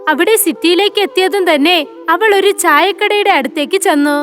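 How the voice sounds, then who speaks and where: A woman's voice: very high-pitched, fairly quick, a bit bright, soft background music. A woman narrating a scripted moral story for children.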